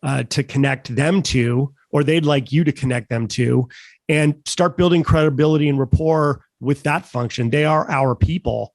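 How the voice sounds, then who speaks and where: Croaky man, mid pitch, talks sales in a relaxed, measured way with fillers. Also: dry recording. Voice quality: croaky, gravelly. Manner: measured, folksy, with many fillers. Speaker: sales panelist. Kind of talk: casual panel discussion.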